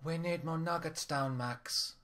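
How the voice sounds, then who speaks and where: A pressured, soft-spoken restaurant manager in an audio story, put on by a man. He has an imitation Korean accent and a raised pitch, recorded dry. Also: unhurried, no room sound. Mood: pressed. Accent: attempted Korean. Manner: soft-spoken, hard to understand.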